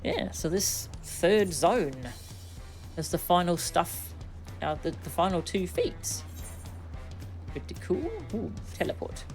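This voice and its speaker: A woman's voice: fairly low pitch, expressive, slow pace, long pauses, darkish tone, low-quality recording. A woman streaming a video game, reading dialogue aloud and chatting casually. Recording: game music behind. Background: game music.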